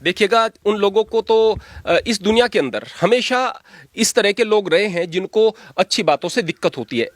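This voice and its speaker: High-voiced preachy holy man. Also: animated, moderate pace, no echo. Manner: preachy, emphatic, plain-spoken. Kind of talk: earnest spoken argument.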